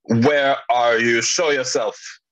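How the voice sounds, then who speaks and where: A man playing a wary, calculating wizard with stern, formal phrasing in an animated fantasy table read. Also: mid-range voice, takes it slowly, no room sound. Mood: suspicious.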